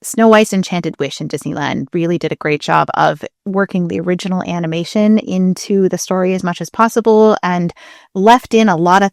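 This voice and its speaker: A woman's voice: lowish voice, no room sound, studio quality. A woman co-hosting a podcast as a historian, explaining things in a casual, conversational manner. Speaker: podcast co-host.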